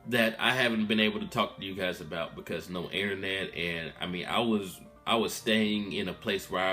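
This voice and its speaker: A man in his twenties talking casually to his viewers in a candid, rambling vlog update, recorded in a room at his mother's house. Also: fairly low pitch. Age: 20s. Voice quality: loud voice. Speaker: vlogger.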